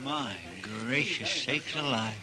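An actor playing a naive, easily amazed frontier sidekick in a 1950s radio western, with folksy, drawn-out exclamations. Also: male voice, mid-range voice, a loud crowd, lightly acted. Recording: vintage noisy 1950s radio drama recording.

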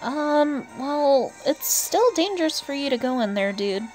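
A woman's voice: sits high, lively intonation, a little slow, a little mellow, faint music behind, low-quality recording. A woman giving casual, chatty gameplay commentary as a player and channel host, often talking to herself and to the game's characters. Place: indoors, voice-over on gameplay with game music behind.

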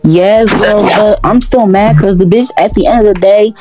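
A woman's voice: mid-range voice, normal speed, over music, natural voice. A woman playing a brash, combative young reality-show fighter, profane, boastful and confrontational. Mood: riled, boastful. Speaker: lead of a voiced animated reality drama. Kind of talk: heated reality-show drama acting.

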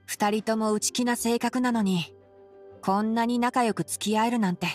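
A woman voicing a clever young male scholar in an anime game, measured and gently dry. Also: a little high, fairly even intonation, faint music behind, close to an everyday voice. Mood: calm, thoughtful. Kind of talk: Japanese anime game voice acting.

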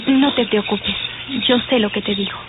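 An actress playing a composed, determined young daughter in an old radio soap. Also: female voice, mid-range voice, normal speed, close to an everyday voice. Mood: hushed, reassuring. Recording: tinny old radio recording, faint noise.

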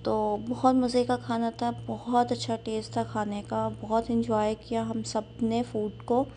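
Young woman, casual vlog narrator, even and flat delivery, faint hum. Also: fairly high pitch. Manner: chatty, unhurried, with frequent "uh" fillers. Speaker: vlogger.

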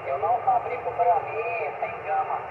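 Gravelly Brazilian man chatting on radio. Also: high-pitched, flat delivery, normal speed. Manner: repeats himself, frequent tag questions. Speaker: amateur radio operator. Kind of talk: casual two-way radio chat. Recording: muffled, noisy radio setup, room echo.

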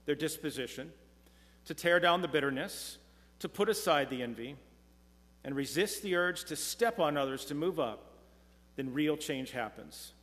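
A middle-aged man preaching a sermon from a pulpit, earnest and measured, slowing down when reading scripture. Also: fairly high pitch, animated, fairly bright tone. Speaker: pastor. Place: church sanctuary, speaking from a pulpit. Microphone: pulpit mic, far camera. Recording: long church reverb.

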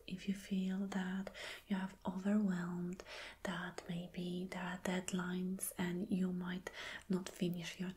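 A woman playing a flirty, caring boss in a soft, breathy, whispered ASMR roleplay, gentle and coaxing. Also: medium pitch, lightly acted. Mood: soothing, caring. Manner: slow, gentle, coaxing, with hums of agreement. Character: flirty, caring female boss courting an employee. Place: small dim indoor room.

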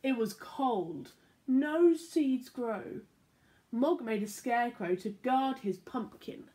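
A young woman reads children's picture books at a slow, unhurried pace, her voice swooping up and down with lively expression. She speaks from a living room into a laptop camera at arm's length, playful and clear for small listeners. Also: fairly high pitch. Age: young adult. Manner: animated, playful read-aloud with sound effects. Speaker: church children's storyteller. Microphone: laptop or phone camera at arm's length.

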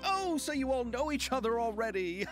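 A man's voice: very high-pitched, big pitch swings, normal speed, over music. A man voicing a courteous film producer in a game, hesitant and apologetic, then jovial. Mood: flustered. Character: eager male film producer, flustered and courteous.

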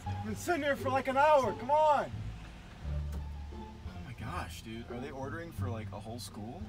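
Animated young man bantering in a car. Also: fairly high pitch, normal speed, music in the background. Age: young adult. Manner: slangy, exclamatory. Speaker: prank vlogger. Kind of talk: casual banter with friends. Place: inside a car at drive-thrus at night. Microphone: handheld camera inside the car.

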